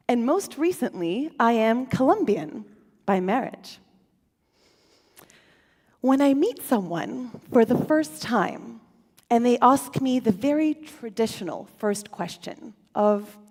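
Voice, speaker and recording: A woman speaker, a native Russian speaker, giving a prepared stage talk in a playful, expressive manner with rhetorical questions. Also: mid-range voice. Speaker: conference speaker. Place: lecture hall stage. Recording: reverberant hall with faint music.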